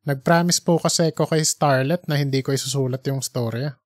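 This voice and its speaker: A man's voice: medium pitch, steady tone, fairly quick, darkish tone, dry recording, clean studio sound, close to an everyday voice. A man voicing an earnest young reporter who speaks politely and hesitantly, pleading with his boss in an audiobook story. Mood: apologetic, pleading.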